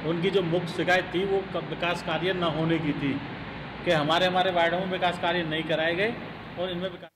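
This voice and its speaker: Middle aged official guy, halting formal statement, echoey room, noisy background. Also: high-pitched, steady tone. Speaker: local official. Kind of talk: formal account to camera. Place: indoor room.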